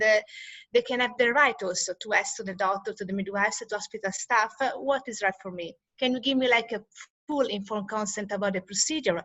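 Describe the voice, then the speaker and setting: A woman in her forties from Italy with an Italian accent, a midwife talking earnestly on a panel in halting English and laughing often. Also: on the high side, fairly bright tone, no room sound. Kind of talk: casual panel chat.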